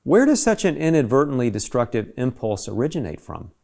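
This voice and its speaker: A man's voice: medium pitch, very animated, fairly quick, creaky, warm tone. A man reads a scripted essay aloud in an emphatic, rhetorical manner, as if pressing an argument. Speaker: reader of an essay.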